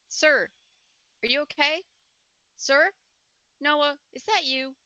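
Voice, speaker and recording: A woman's voice: sits high, takes it slowly, sharp tone, no echo, dull audio, heavily acted. A woman playing an uneasy, foul-mouthed young woman driver in an amateur horror table read. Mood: startled, wary.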